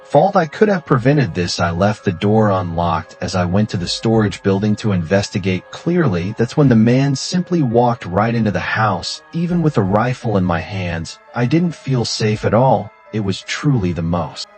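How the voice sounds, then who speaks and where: A man with a fairly low voice narrates a scary story in the first person, as a scripted read. Music plays under his voice. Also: big pitch swings, moderate pace, hardly any pauses, darkish tone. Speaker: horror story channel narrator.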